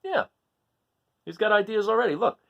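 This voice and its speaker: Animated man reading aloud to children. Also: mid-range voice, normal speed, lo-fi sound. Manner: expressive, talks directly to young listeners. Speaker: storybook reader. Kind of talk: children's read-aloud with informal asides.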